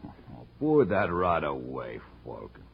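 Very deep, surly gangster. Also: male voice, huge pitch swings, slow pace, gravelly, exaggerated voice. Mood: surly, defiant. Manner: tough-guy slang. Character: surly, defiant male gangster suspect. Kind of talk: 1940s radio drama acting. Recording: noisy, dull old radio recording.

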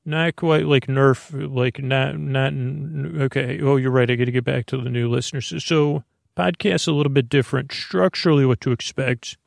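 A man with a gravelly, creaky voice at a middling pitch, recorded close and dry. He rambles like a sleep podcast host, halting and restarting as he drifts into low-key, silly tangents. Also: steady tone, no echo. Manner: meandering, halting, often trailing off into tangents; mildly whimsical, low-key silliness. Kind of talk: rambling, unscripted bedtime sleep podcast intro.

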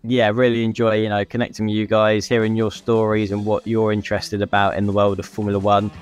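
A man's voice: fairly low pitch, monotone, quick pace, soft background music. A man co-hosting a podcast, chatting casually about motorsport in an agreeable, conversational way. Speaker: podcast co-host.

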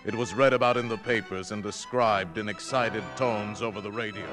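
Guy doing old radio play narrator, low voice, grave, music swelling behind. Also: fairly even intonation, lightly acted. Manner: deliberate, dramatic. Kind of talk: 1950s radio drama narration. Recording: 1950s radio recording with orchestral music.

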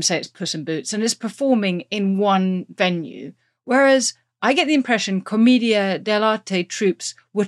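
A woman hosting an interview, speaking articulately and thoughtfully as she sums up points and frames questions for her guest. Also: mid-range voice. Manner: articulate, summarises then asks. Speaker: host, interviewer.

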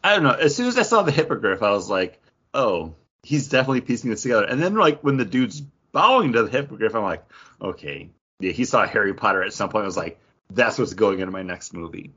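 Low, husky man with animated delivery. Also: quick pace, dull audio. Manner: opinionated, conversational. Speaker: podcast host. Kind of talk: casual geek-news discussion.

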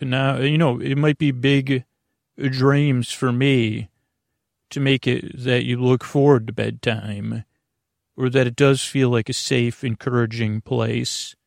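Creaky-voiced man rambling gently. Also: medium pitch, dry recording, clean studio sound. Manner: meandering, self-correcting, soft-spoken and reassuring. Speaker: podcast host. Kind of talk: rambling sleep podcast intro.

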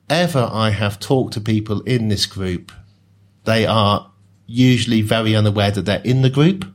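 A man explaining ideas in a relaxed, conversational way with wry asides. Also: fairly low pitch. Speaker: speaker on social class. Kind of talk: discussion talk.

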